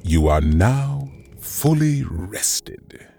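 A man voicing a calm fantasy keeper who tends weary wanderers, speaking in a soothing, theatrical game-interlude manner. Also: medium pitch, extremely slow, vocal fry, heavily acted. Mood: soothing, welcoming.

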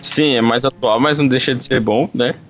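A man's voice: medium pitch, fairly quick. A man talks casually as a panelist on a music podcast. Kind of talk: casual chat.

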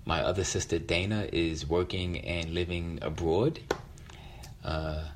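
A middle-aged American man with a very low voice tells a personal story. He speaks reflectively, halting as he searches for words. A light layer of noise sits behind him. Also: steady tone. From West Philadelphia, United States. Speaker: featured storyteller.

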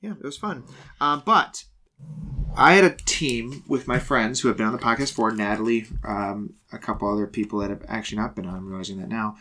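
A man hosting a casual podcast, running a homemade game show with excited, rambling talk and quick self-corrections. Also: fairly low pitch. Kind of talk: casual chat.

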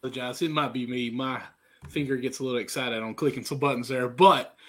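A man hosting a casual sports podcast over a video call, sounding hoarse from a sinus infection, chatty and apologetic. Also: mid-range voice, fairly even intonation, fast, a bit bright. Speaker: podcast host.

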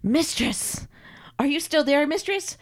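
A woman's voice: high voice, expressive, measured pace, pitched above the performer's own voice. A woman voicing a servile middle-aged man who stammers and pleads in overblown formal phrases, in an acted story. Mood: needy.

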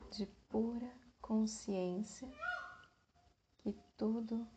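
A woman leads a guided meditation in a soft, breathy voice, speaking in short phrases with long pauses. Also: on the high side, steady tone, slight background noise.

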